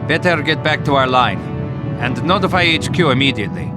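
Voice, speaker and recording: A man's voice: medium pitch, expressive, fairly quick, loud music behind the voice, natural voice. An actor playing an Italian WW2 officer in a video game, worried for his brother, wry, with an Italian accent. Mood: worried, urgent. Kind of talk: video game cutscene acting.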